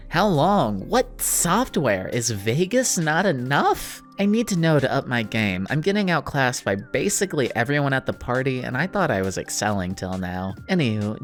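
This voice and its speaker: A man narrating a casual scripted video as a YouTube essayist, wordy, slangy and self-deprecating in a wry way. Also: mid-range voice.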